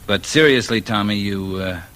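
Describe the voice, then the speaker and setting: An actor playing a smooth gangster boss in 1940s radio crime drama style, genial on top with understated menace. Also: male voice, lowish voice, moderate pace, fairly bright tone, close to an everyday voice. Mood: calm menace.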